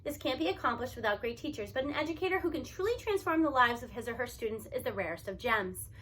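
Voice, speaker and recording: Female story narrator, high pitch, bright tone, animated, emphatic read-aloud delivery. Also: fast talker. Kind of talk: expressive first-person audiobook narration.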